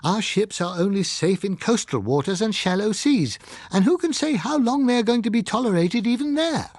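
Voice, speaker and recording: A man's voice: sits high, lively intonation, normal speed, no echo, pitched above the performer's own voice. Man acting an emphatic, oratorical scientist on air. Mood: grave, indignant. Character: Bocca, emphatic male scientist giving a sombre broadcast commentary. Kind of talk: dramatised audiobook character speech.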